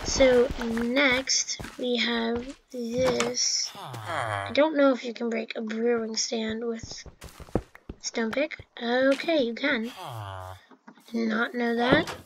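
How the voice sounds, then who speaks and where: A woman's voice: a little high, animated, slow, noisy background. A woman giving casual, chatty commentary over her own gameplay, with fillers and restarts. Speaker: gameplay narrator.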